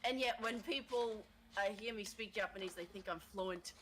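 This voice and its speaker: A woman chatting casually on a stream, rambling and halting, dropping in Japanese phrases. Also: medium pitch, fairly bright tone, slight background noise. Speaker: co-streamer guest. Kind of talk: casual chat.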